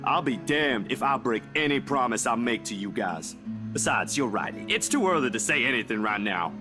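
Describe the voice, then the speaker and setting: A man's voice: mid-range voice, expressive, normal speed, music in the background, natural voice. A man acting a downhearted but sincere young man in a visual novel, speaking casually and a little hesitantly. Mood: sincere, reassuring.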